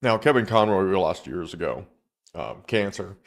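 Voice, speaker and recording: A man hosting a live stream, speaking in a somewhat low voice. He chats casually, wanders off on side thoughts and speaks directly to the people watching. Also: expressive, normal speed, gravelly. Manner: conversational, rambling, talks to viewers directly.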